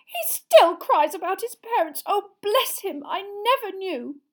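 A woman's voice: animated, measured pace, darkish tone. A woman voicing an anxious, fretting mother as mimicked by her son, overwrought, in an audiobook. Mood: tearful sympathy. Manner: high-pitched, overwrought fretting. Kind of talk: audiobook character voice.

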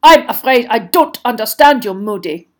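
A woman's voice: fairly high pitch, normal speed, lightly acted. A woman voicing a cold, scheming male headmaster with a disdainful, indignant manner in a storybook.

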